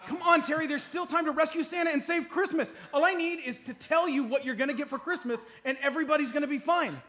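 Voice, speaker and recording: A man's voice: extremely high pitch, fast, sharp tone. A man playing an eager, self-assured amateur detective in a comedy radio play, theatrical and emphatic, live on stage. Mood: eager, pleading.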